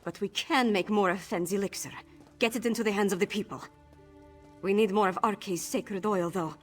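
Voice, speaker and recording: A woman's voice: medium pitch, fairly even intonation, moderate pace, faint music behind, natural voice. Woman acting an earnest young fantasy princess. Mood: troubled, resolute. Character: determined young princess, burdened but commanding. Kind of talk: fantasy video game voice acting.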